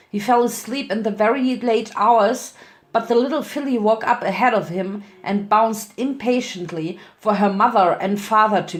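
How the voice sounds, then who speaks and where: A woman giving a dramatic narrated reading of a story. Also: mid-range voice. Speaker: fan fiction reader.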